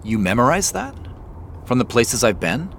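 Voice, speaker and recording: An actor playing a soft-spoken, remorseful younger brother in an audio drama, hesitant and self-deprecating in a natural way. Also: male voice, medium pitch, sing-song, moderate pace, creaky. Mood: casual, low-key. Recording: faint road noise behind voice. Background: car driving ambience.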